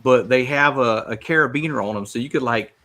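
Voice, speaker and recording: A man's voice: mid-range voice, a little fast, a bit bright. An American man talking casually and keenly about fishing gear as a podcast panelist.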